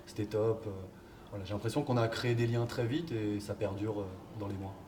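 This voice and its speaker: A man, a football coach, answering interview questions in a relaxed, hesitant way with many fillers. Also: fairly low pitch, brisk. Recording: distant mic, background noise.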